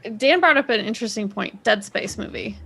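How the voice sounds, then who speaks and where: Woman on a podcast, slow n creaky but bright, casual little questions and reactions. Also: medium pitch, expressive. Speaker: podcast panelist.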